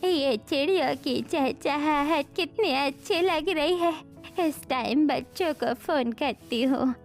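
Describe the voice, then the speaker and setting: A woman playing an affectionate, insistent elderly village grandmother in an animated family story, coaxing and bossy. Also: sits high, slow, soft background music. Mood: fond.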